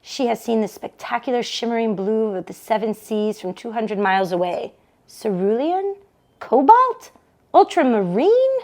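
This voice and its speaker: A woman hosting a book club read-along, reading a novel aloud to an online audience with expressive delivery. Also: a little high, normal speed.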